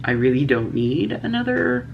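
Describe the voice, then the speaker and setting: A woman's voice: low voice, very animated, normal speed, vocal fry, lo-fi sound, background noise. A woman chatting through a beauty box unboxing, sniffling a little and reading out product names and prices. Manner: chatty, reads prices and labels. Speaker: beauty vlogger. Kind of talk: casual unboxing chat.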